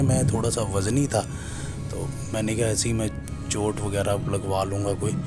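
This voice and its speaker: A man informally retelling a listener's scary story as a narrator, in a conversational way with repeated reporting phrases. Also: fairly high pitch. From Pakistan. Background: music.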